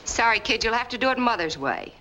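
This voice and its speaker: Brassy mocking daughter, quick and bright, slangy wisecracker, 1940s crime film. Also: female voice, on the high side, animated.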